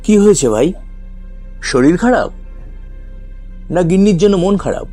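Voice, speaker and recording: A man narrates a story dramatically in a fairly high, mellow voice. His pitch moves in a lively way, with long reverb around it. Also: a little slow. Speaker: story reader. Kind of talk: dramatic scripted first-person narration. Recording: long reverb, faint music behind.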